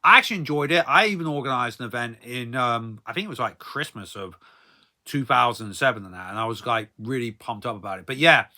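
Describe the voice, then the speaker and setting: Bright, forceful man rambling casually. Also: mid-range voice, expressive, fairly quick. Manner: hesitant and repetitive, often trailing into tag phrases. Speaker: vlogger. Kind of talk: rambling casual story time monologue.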